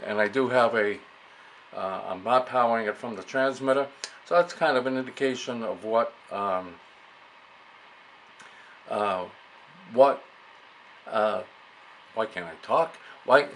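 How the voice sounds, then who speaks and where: A man giving a casual hands-on demonstration as a radio operator, halting and muttering to himself as he works. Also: mid-range voice, fairly bright tone. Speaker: amateur radio operator demonstrating vintage gear. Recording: clearly noisy room recording.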